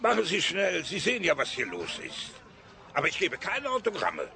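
An actor playing a brusque, harried department-store Santa Claus in a crime radio drama. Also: male voice, sits high, wildly swooping pitch, normal speed, creaky, background noise, full character voice. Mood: irritated. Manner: brusque, irritable.

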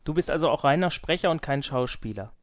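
Guy, voice a bit high, podcast host, polite and orderly, does questions and announcements. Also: lively intonation, quick pace, lo-fi sound.

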